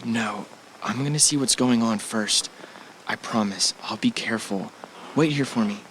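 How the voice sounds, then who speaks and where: A man's voice: lowish voice, moderate pace, fairly bright tone, lightly acted, rough recording. A man playing an easygoing, affectionate husband on vacation in an audio drama, speaking casually with a joking manner. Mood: concerned. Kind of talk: audio drama acting, conversational. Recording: faint background noise.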